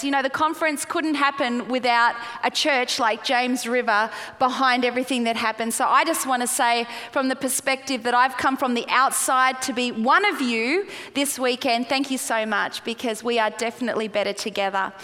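Bright high-voiced Australian woman preaching. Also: steady tone, normal speed, few pauses, in a large hall. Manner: chatty, playful, asks the crowd questions. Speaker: guest pastor. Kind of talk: sermon and prayer. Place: large church auditorium.

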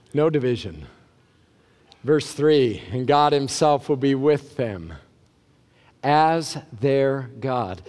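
A man preaching a sermon as a pastor, emphatic, with deliberate pauses and repeated short phrases, in a large hall. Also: a little high.

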